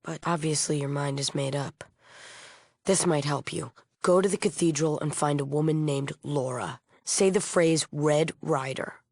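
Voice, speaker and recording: An actress playing a solemn, idealistic leader of a post-apocalyptic peace movement in a video game, earnest and guarded. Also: female voice, low-pitched, steady tone, measured pace, a little mellow, dry recording, studio quality. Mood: resolute, concerned. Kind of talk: acted video game dialogue.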